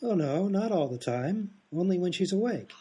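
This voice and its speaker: Man acting daft male doctor, rambling, oblivious, rather high mellow voice, radio comedy. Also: lively intonation, moderate pace, slightly rough. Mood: absent-minded, breezy. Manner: rambling, oblivious, matter-of-fact. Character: daft, absent-minded male hospital doctor, cheerfully off-topic. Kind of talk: absurdist radio comedy sketch.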